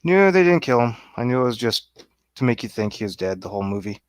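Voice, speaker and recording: A man's voice: medium pitch, big pitch swings, quick pace, no echo. A man giving his opinions casually as a guest on a film review.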